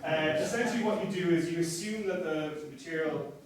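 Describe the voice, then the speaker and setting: A young Irish man giving an academic talk as a lecturer, relaxed and self-deprecating, with frequent fillers. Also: fairly high pitch, a little fast. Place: seminar room. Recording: echoey room from far off, noisy.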